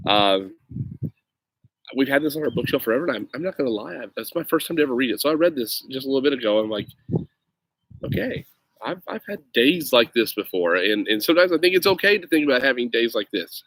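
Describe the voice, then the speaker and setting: A man's voice: medium pitch, animated, fast talker, a bit bright, dry recording, low-quality recording. Easygoing man reading stories to kids. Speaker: reader of children's stories, teacher-like host. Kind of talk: casual chat and expressive picture book read-aloud. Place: outdoors in a grassy yard on a windy day. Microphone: laptop at about arm's length.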